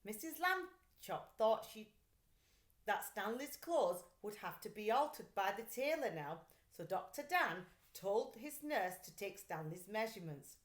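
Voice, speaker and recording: A woman reading a children's story aloud like a teacher, in an expressive, deliberate, carefully phrased way. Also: medium pitch. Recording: echoey, roomy sound.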